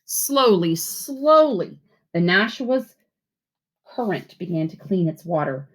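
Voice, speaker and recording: A woman reading aloud as a teacher to schoolchildren, with expressive, deliberate phrasing. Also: fairly low pitch. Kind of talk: read-aloud storytelling and simple instructions for children.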